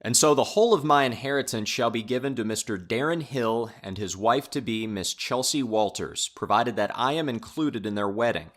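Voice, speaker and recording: Male actor as theatrical, cantankerous uncle, mid-pitched, emphatic and demanding, audio drama. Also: moderate pace, hardly any pauses, fairly bright tone, natural voice. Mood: declaiming. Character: cantankerous, theatrical dying uncle dictating his will.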